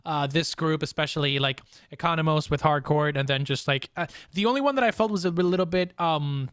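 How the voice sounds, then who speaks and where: A man hosting a casual pop-culture discussion, talking haltingly and often repeating his words. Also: a little high, a bit bright, smooth, no echo, studio quality. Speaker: podcast host.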